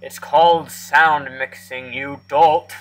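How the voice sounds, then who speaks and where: A man's voice: on the high side, fairly even intonation, takes it slowly, fairly bright tone. A man voicing a pushy, irritable talking device in an amateur cartoon parody, curt and scolding. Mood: irritated. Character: pushy, irritable male talking metal device, bossy know-it-all.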